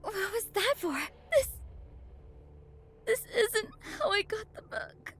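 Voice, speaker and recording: A woman's voice: very high voice, expressive, slow pace, fairly bright tone, full character voice. An actress playing a fearful, grieving young woman in a horror visual novel, hesitant and tearful. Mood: tearful, distressed. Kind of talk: dramatic visual novel acting.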